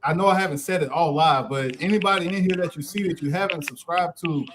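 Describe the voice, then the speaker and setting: Man in his forties, livestream host, high voice, several voices talking behind him. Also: fast, crowd noise. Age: 40s. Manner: shouting out channels, calling people in. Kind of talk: casual group chat.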